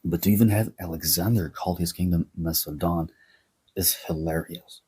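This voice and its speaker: A man's voice: low-pitched, mellow, husky. A man hosting a history video, giving a sarcastic, opinionated commentary with wry asides. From Greece.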